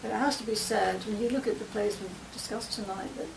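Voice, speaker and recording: A woman's voice: medium pitch, steady tone, a little fast, gravelly. A woman in a casual discussion who chats readily and reads passages aloud. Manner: chatty, reads verse aloud. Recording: echoey room with noise.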